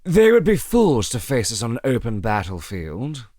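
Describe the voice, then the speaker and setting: A man plays a young French army commander in an audio drama, arrogant and resolute. The voice is lifted above a low natural register, and the sound is dry and close. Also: wildly swooping pitch, moderate pace, a little mellow, no room sound.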